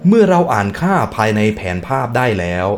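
A man's voice: mid-range voice, sing-song, a little fast, music in the background. A man hosting a reaction video, reading text aloud and breaking off into casual musing remarks and hums.

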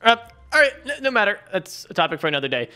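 A cocky, excitable schoolboy played by a man, bright and forceful, with a pitch that leaps around. He is bossy and dramatic, stammering his way through cartoon comedy. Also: quick pace, soft background music, full character voice. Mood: pushy.

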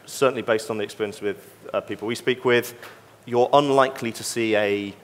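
Guy on a conference panel, lowish voice, careful measured explaining, big reverby hall. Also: fairly even intonation. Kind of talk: unscripted panel discussion. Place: on stage in a large conference hall.

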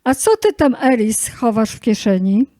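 A woman's voice: a little high, moderate pace, warm tone, no room sound, clean studio sound, close to an everyday voice. A woman playing a bossy, practical goddess in an amateur radio play, with a scolding manner. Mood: curious, teasing.

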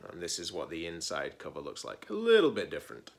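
A man's voice: sits low, wildly swooping pitch, fast. A man in his forties, a teacher-librarian, reading a children's picture book aloud expressively, stressing key words and adding casual asides.

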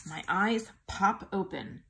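A woman reading a children's picture book aloud in an expressive teacher's manner, drawing out the sound words. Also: mid-range voice, slow pace, vocal fry, slight background noise. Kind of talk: animated children's storybook reading.

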